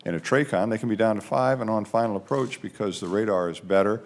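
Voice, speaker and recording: Male, low voice, government witness giving emphatic, explanatory testimony. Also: fast talker, creaky. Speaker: aviation official testifying at a hearing. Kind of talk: spoken testimony.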